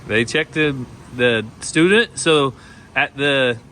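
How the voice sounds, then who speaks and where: A man's voice: medium pitch, slow, fairly bright tone. A man chatting casually as a farm vlogger, with a plain-spoken, folksy way of talking. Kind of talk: casual vlog chat. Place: outdoors on a farm. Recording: noisy handheld outdoor farm recording.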